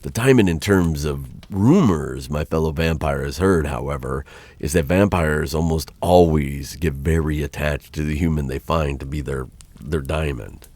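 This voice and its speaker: Man voicing a very deep-voiced, hesitant vampire captor. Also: moderate pace, a little mellow, no echo. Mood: casually instructive. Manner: hesitant, stammering, conversational. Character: male vampire captor, dangerous yet awkwardly kind and flustered. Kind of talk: ASMR roleplay monologue.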